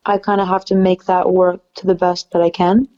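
A young woman singer-songwriter with a soft, slightly raspy low voice, chatting casually in an interview with lots of fillers. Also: a little fast, muffled recording, a crowd in the background. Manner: relaxed, chatty, many fillers.

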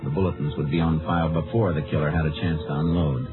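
An actor playing a steady, shrewd Texas Ranger investigator in 1950s radio crime drama, terse and matter-of-fact. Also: male voice, very deep voice, quick pace. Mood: narrating. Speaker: lead actor and narrator. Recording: muffled old radio recording with music.

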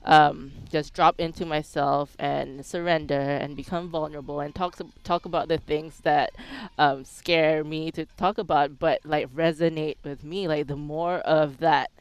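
A woman's voice: deep voice, steady tone, unhurried, few pauses, clean tone, no echo. A woman from Singapore talking as an interview guest, candid and introspective in casual conversation, often dropping in "like" and "you know".

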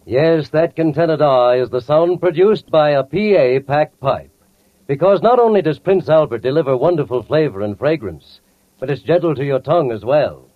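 A man's voice: on the high side, animated, moderate pace, dry recording. A man reading a scripted advertisement in 1940s radio announcing style. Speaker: commercial announcer. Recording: tinny, narrow 1940s radio sound.

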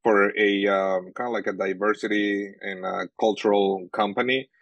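A young Venezuelan man, a native Spanish speaker, answering interview questions about his sports journalism work, pausing often with fillers and restarts. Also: fairly low pitch, steady tone, dry recording, lo-fi sound. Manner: halting, many fillers and restarts.

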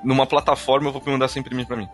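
A man's voice: mid-range voice, level tone, rapid-fire. A man with a Brazilian accent co-hosting a podcast, chatting informally with jokes and slang and reading listener messages. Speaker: podcast co-host. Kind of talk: casual chat, reading listener comments. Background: background music.

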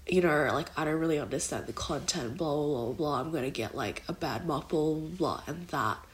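A woman's voice: low voice, a little fast. Nasal, congested young woman podcaster. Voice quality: nasal, blocked nose. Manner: rambling, heavy use of "like" and "kind of". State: recovering from illness, congested. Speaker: podcast host. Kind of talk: casual solo podcast chat.

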